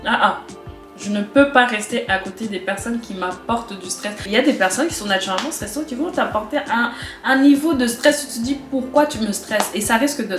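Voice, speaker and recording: A young woman with a cold, talking casually to camera in a chatty way and repeating phrases for emphasis. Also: fairly high pitch. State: has a cold, stuffy. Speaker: vlogger. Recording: echoey room recording.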